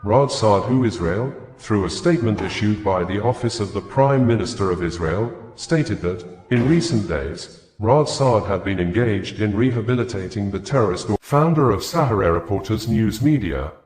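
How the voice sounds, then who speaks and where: A man's voice: fairly low pitch, expressive, moderate pace, few pauses, darkish tone. A man reading scripted news in a formal, wordy read-aloud delivery. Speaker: news reader. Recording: echoey, reverberant room.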